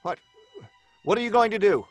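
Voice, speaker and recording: An actor playing a flippant, excitable story writer in an old-time radio drama, breezy and bantering, mockingly unafraid. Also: male voice, high-pitched, normal speed, no room sound, soft background music. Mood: defensive.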